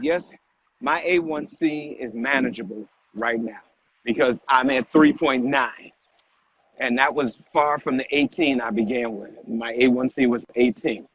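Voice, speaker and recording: A man giving emphatic, exhorting health talk while walking briskly, repeating phrases to drive them home. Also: mid-range voice. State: exercising at a brisk walk while talking. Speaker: fitness vlog co-host. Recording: muffled audio with clear background noise.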